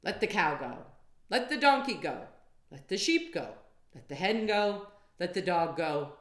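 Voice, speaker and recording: A woman playing commanding wise men in a storybook, speaking in a firm, measured, insistent way. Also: on the low side, big pitch swings, a bit bright. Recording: roomy, echoey sound.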